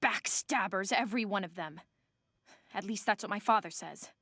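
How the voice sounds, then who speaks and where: Prim, proud young heiress. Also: female voice, medium pitch, normal speed, bright, forceful tone, no room sound, studio quality. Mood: bitter. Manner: formal, prim, self-assured. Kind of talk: video game voice acting.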